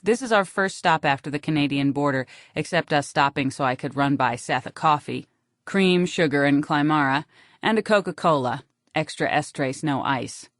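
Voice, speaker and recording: A woman with an American accent reads a literary first-person audiobook in a wry, deadpan manner, close on the microphone. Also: deep voice, moderate pace, no room sound. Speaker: audiobook narrator.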